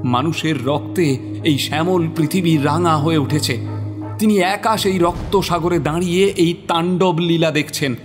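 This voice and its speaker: A man's voice: on the high side, measured pace. A man narrating a scripted horror story in a suspenseful, theatrical manner. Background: prominent dramatic music.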